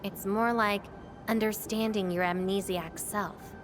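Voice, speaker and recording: An actress voicing a calm, self-assured young woman who wields fire, speaking game dialogue with a teasing edge over game music. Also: mid-range voice, a little slow, close to an everyday voice.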